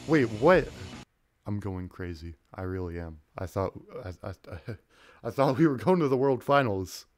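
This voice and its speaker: A young man giving casual, excitable commentary while playing a video game, talking to himself through a headset mic. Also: fairly low pitch, unhurried, soft background music. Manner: excitable, self-mocking, talks to himself. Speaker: gaming YouTuber. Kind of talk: casual let's-play commentary.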